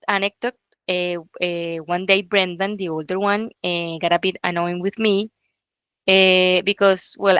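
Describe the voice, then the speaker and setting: Woman, Colombian Spanish accent, native Spanish speaker, young adult, hesitant with fillers, smooth voice, slightly muffled dry audio. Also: on the low side, fairly bright tone, no echo. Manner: hesitant, many filler sounds. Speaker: speaker giving a talk. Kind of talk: personal storytelling talk. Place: stage talk.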